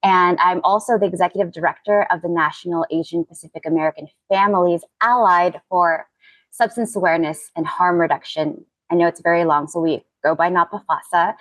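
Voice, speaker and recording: A woman's voice: on the low side, no echo, low-quality recording. A woman from the Philippines whose first language is Tagalog, giving a friendly, conversational self-introduction on a virtual panel. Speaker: executive director, panelist.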